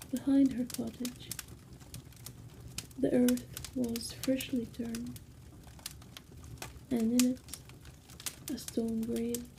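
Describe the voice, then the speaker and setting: A woman narrating a spooky bedtime story in hushed ASMR style, with slow phrasing and dramatic pauses. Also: fairly high pitch, creaky, slight background noise, low-quality recording.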